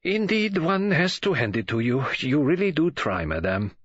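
A man voicing a fastidious elderly foreign detective who speaks in a slow, formal, precise way and uses French phrases. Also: on the high side, big pitch swings, fairly bright tone, breathy edge, no echo, voice pushed up, exaggerated voice. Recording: dull lo-fi audiobook recording.

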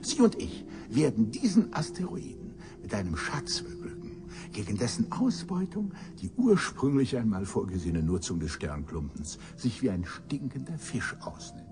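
An actor playing a cynical, bitter old professor in a science fiction radio drama, pompous and sardonic in elaborate phrasing. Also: male voice, on the low side, sing-song, moderate pace, hardly any pauses. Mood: smug, scheming.

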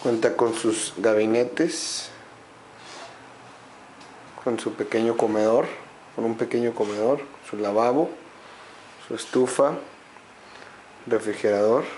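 Man, slow listing walkthrough, empty indoor rooms, handheld camera mic, faint background noise. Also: medium pitch, steady tone, a little mellow, poor audio. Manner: slow, listing features with set phrases. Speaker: home sales guide. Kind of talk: walkthrough narration.

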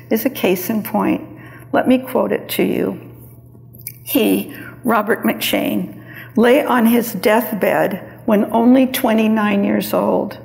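An older woman, around her seventies, preaching a sermon in a measured, deliberate, reflective manner. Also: medium pitch, gravelly, darkish tone. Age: older, around 70s. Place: large church sanctuary, indoors, at a lectern. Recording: reverberant church hall.

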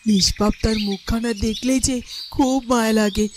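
A woman voicing a gentle, hopeful village mother in a fairytale cartoon, polite and coaxing. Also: on the high side, fairly even intonation, moderate pace, lightly acted. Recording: noisy track with birdsong behind.

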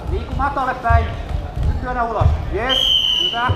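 A man's voice: very high voice, muffled recording, over loud music. A man coaching a young wrestler from the mat side, calling short repeated instructions. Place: large indoor sports hall. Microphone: far camera. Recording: echoey sports hall with crowd noise.

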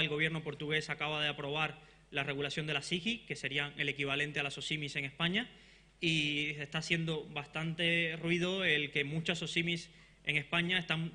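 A man in his thirties with a Cuban Spanish accent, introducing a conference panel from a lectern with brisk speech and frequent fillers. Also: fairly high pitch, pushed and bright. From Cuba. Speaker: event host introducing a panel. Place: conference hall, standing at a lectern. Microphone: lectern microphone.

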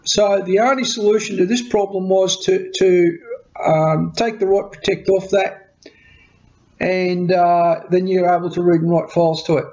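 A man's voice: high-pitched, moderate pace. A middle-aged man with a cold, rambling casually to camera as a tech vlogger, repeating words as he explains, in a small cluttered home workshop. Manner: chatty, repeats words, animated hand gestures. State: has a cold. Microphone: webcam at desk. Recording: dull lo-fi webcam audio.

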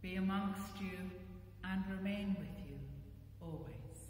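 A middle-aged woman cleric leading liturgical prayer and blessing in a measured, solemn way. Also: medium pitch, a little mellow. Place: inside a stone church, standing at the altar. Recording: echoey church, distant microphone, faint noise.

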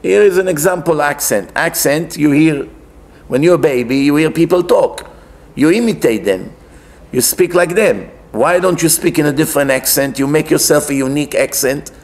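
High-pitched Israeli-accented male rabbi lecturing. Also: animated, moderate pace. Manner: explanatory, emphatic, rhetorical questions, repeats phrases. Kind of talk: religious lecture, conversational preaching. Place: indoors, wood-panelled synagogue, seated at a lectern.

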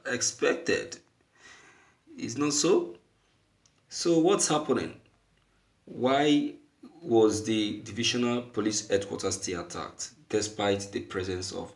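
A Nigerian man hosts a news commentary in a low, gravelly voice. He speaks very slowly and leans on his words with emphasis, often repeating them. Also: lively intonation. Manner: emphatic, repeats phrases for stress. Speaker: online news commentator, host.

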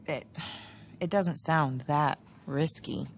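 Low-voiced, wry American wife. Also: female voice, takes it slowly, a little hiss or hum. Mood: concerned. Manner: casual, gently sardonic. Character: wry, teasing, patient wife in her thirties. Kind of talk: naturalistic video game cutscene acting, casual banter. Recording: music behind the dialogue.

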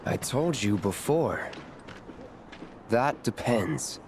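An actor playing an aloof young mercenary in a video game, terse and deadpan, guarded in every reply. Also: male voice, on the low side, drawn-out words, background noise, full character voice. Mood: cold, dismissive.

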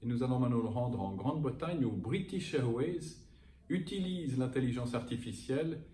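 A middle-aged man hosting a travel news show, introducing segments with lively emphasis from a room overlooking an airport. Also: mid-range voice, normal speed. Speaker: host. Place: indoors by a window over an airport. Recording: roomy, from a distance, faint noise.

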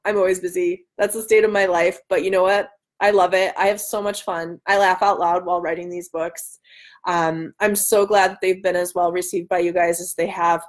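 A woman in her thirties hosting a casual live stream from home, loud, excitable and bantering as she answers comments. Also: fairly low pitch. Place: room at home by a large window.

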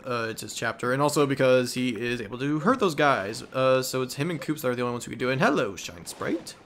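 A man's voice: mid-range voice, lively intonation, quick pace, a bit bright. A man hosting a let's play with casual, goofy, rambling gameplay commentary. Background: video game music.

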